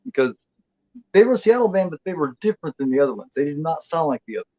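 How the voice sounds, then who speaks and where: A man's voice: fairly high pitch, big pitch swings, fast talker, darkish tone, no room sound, muffled recording. A man in his forties chatting as co-host, blunt and profane, rambling with lots of restarts. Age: 40s. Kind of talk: casual chat.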